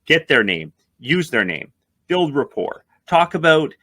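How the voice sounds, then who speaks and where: A man hosting a podcast, talking in an excited, enthusiastic conversational way. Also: a little high, unhurried, husky, dry recording. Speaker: podcast host, marketing advisor.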